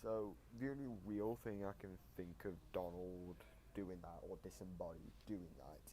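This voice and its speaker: A man with a low-pitched voice gives casual gaming commentary as a video host. He explains in a loose, rambling way over faint background noise on a home microphone. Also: normal speed, warm tone. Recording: noisy home microphone recording.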